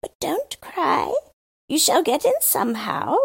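A woman's voice: high-pitched, unhurried, gravelly, voice pushed up, heavily acted. Woman reading as a chattering male crow. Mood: kindly. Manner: lively, chattering and eager to gossip. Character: chatty, boastful male crow, proud and helpful.

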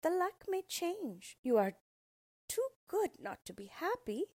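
A woman doing a squeaky little loyal dog. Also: sing-song, measured pace, a little mellow, dry recording, full character voice. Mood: coaxing. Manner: cheerful, coaxing. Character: small eager loyal male lapdog.

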